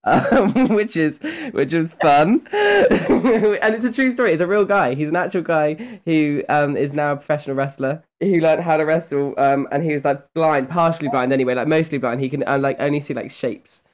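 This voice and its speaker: A man's voice: on the high side, animated, moderate pace, few pauses. A tired man chatting casually as an interview guest, giggly and self-effacing, on an internet call.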